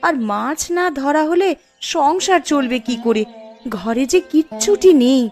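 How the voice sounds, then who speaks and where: A woman's voice: high-pitched, expressive, unhurried, darkish tone, soft background music. A woman voicing a poor village wife and mother in a cartoon story, with a fretful, complaining way of talking. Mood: fretful, worried.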